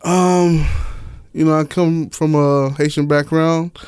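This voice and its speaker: A man's voice: a little high, fairly even intonation, slow, a little mellow, smooth, dry recording. A man from Miami talking as a guest in a casual podcast chat, candid and slangy. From Miami, United States. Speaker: interview guest, author and stage play director.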